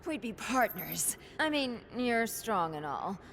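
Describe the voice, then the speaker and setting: A woman's voice: a little high, sing-song, takes it slowly, creaky, fairly bright tone, slight background noise, full character voice. A woman playing a sassy young manager type in a video game, coaxing and flippant. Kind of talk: video game cutscene acting.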